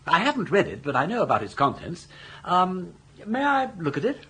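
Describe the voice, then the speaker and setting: An actor playing a jaunty, scheming older English gentleman in a radio comedy, garrulous and grandly worded. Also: sits high, wildly swooping pitch, brisk, a little hiss or hum, full character voice. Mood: persuasive, emphatic. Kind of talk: radio comedy drama acting.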